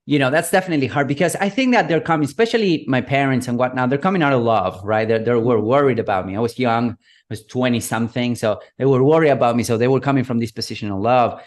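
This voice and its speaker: Argentine guy, 30s, relaxed storyteller, chatty with lots of fillers. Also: medium pitch, quick pace. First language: Spanish. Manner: relaxed, rambling with many fillers like "you know" and "whatnot". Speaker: guest, digital marketing consultant. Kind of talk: interview guest, storytelling.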